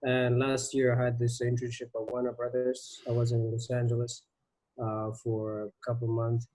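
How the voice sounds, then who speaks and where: A young man from Sweden introducing himself as a prospective film student on a video call, polite and halting. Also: mid-range voice, monotone, warm tone, rough recording.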